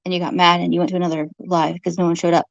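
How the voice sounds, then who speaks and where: Creaky-voiced woman, halting and accusatory. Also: lowish voice, little pitch movement, no echo, muffled recording. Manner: accusatory, halting, repeats phrases. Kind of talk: informal argumentative monologue to camera.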